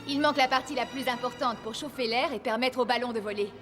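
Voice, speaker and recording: A woman's voice: on the high side, fast talker, music in the background, natural voice. A woman playing a principled, serious scientist in a cartoon, earnest and explanatory. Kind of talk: dubbed cartoon acting.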